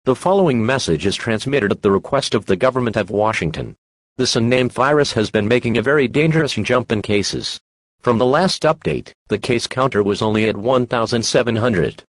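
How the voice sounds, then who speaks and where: A man voices a male government alert announcer with a medium-pitched voice. He reads a scripted emergency message in an official, impersonal way. The recording is close and dry. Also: fairly quick, no echo, natural voice. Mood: official, stern.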